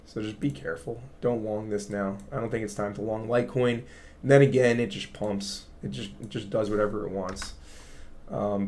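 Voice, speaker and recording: Guy with a raspy voice talking crypto charts on a livestream, casual, keeps saying right?. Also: lowish voice, a little mellow. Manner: chatty, explains to viewers, asks "right?". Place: home studio. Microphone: studio mic.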